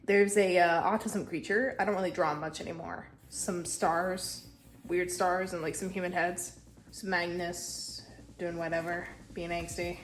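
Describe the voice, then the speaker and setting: A young woman with a congested, stuffy voice, casually touring her sketchbook in a tired, low-key way and swearing now and then. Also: little pitch movement, unhurried, low-quality recording. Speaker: artist showing a sketchbook.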